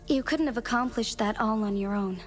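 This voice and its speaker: A woman's voice: a little high, fairly quick, faint music behind, lightly acted. An actress playing a dignified, resolute female magistrate in a video game, speaking formally and firmly. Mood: coolly probing. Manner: formal, composed, firm. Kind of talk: dramatic video game voice acting.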